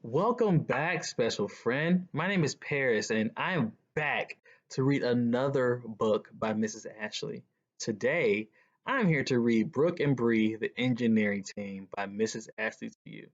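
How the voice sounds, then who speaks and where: A man reads a picture book aloud to children as a guest reader. His voice sits at a middle pitch, and the lo-fi recording sounds slightly dull. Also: unhurried.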